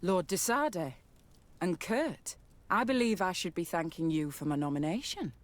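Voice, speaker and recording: An actress playing an honourable military commander in a video game, speaking crisply, formally and respectfully. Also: female voice, on the low side, lively intonation, normal speed, natural voice. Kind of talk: dramatic video game dialogue.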